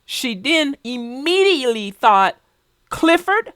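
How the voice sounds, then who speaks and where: A woman's voice: high voice, very animated, slow, a bit bright, clean tone, no room sound, studio quality. A woman hosting a children's read-aloud show, chatting about a story with young viewers.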